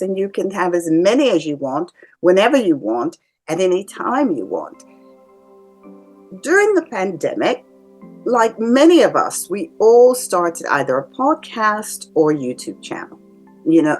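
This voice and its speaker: Calm-voiced woman, lively rising and falling tone. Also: medium pitch. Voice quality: calming voice. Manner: calm, measured explaining with lively rises and drops. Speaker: psychic reader and medium. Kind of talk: casual explanatory talk to camera. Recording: faint music behind. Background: faint music.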